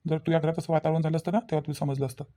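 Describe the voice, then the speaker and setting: A man's voice: on the high side, steady tone, rapid-fire, dark tone, close to an everyday voice. A man voicing a scheming young husband in a village cartoon, self-justifying and repetitive, with a Varhadi Marathi accent. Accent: Varhadi Marathi (Vidarbha). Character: exasperated, scheming newly married young husband.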